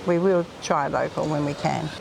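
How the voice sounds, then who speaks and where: Chatty Australian woman with a gravelly voice. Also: deep voice, moderate pace, noisy background. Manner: chatty, rambling, many ums. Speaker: hotel co-owner. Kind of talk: casual interview chat.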